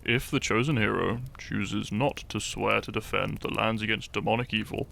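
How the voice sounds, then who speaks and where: A man playing an earnest, shy young hero in a roleplay audio drama, speaking to the listener in a halting, theatrical way. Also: on the low side, steady tone, creaky, sharp tone, heavily acted. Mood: flustered, earnest. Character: shy young hero, earnest, secretly fond of his foe.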